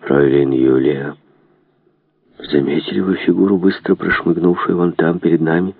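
Guy reading as gruff wary huntsman, very low husky mutter, storybook, boxy phone sound. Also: fairly even intonation, normal speed, narrow, tinny audio, heavily acted. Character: gruff male huntsman servant, slow, wary and reassuring. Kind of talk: dramatised audiobook character reading.

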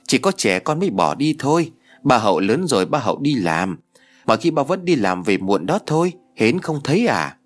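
A man's voice: medium pitch, very animated, moderate pace, faint music behind. A man doing a patient, soothing mother. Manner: gently soothing. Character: patient young mother soothing her kids.

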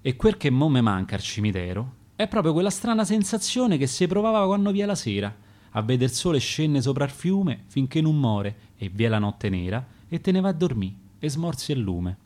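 A poor dead man who once lived on a river boat remembers the evenings, voiced by a man in a Roman accent. He is wistful and plain-spoken. His pitch moves in lively swings. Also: moderate pace, lightly acted.

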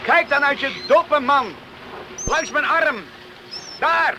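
An actor playing an eager, adventurous teenage island boy in a Dutch radio play, excited and secretive in manner. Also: high voice, slow, background noise. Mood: eager, insistent. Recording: radio play with loud outdoor ambience.

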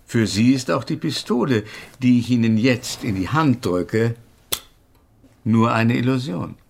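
A gravelly, self-important scientist. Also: male voice, mid-range voice, expressive, a little mellow, a little hiss or hum. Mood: coolly persuasive. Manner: pompous, measured, instructing. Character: self-important male scientist, coolly persuasive patient. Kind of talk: German radio drama acting.

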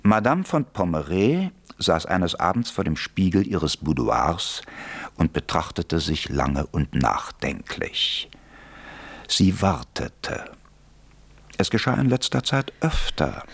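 A man's voice: deep voice, wildly swooping pitch, slow, creaky, husky. A man narrating a radio play as a wry, theatrical storyteller.

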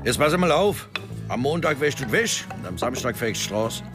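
An actor playing a gruff, curt, commanding old widowed farmer in a radio drama. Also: male voice, mid-range voice, sing-song, brisk, music in the background, natural voice. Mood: bossy. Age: older.

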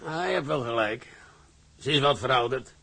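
A man's voice: medium pitch, normal speed, bright, forceful tone, husky. An actor playing a fatherly shipping company director who speaks measuredly and kindly, with hesitant fillers.

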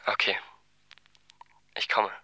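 A man's voice: takes it slowly, pushed and bright, no room sound. A man playing a brusque, domineering teenage boy in a radio drama, curt and dismissive. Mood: guarded, softer.

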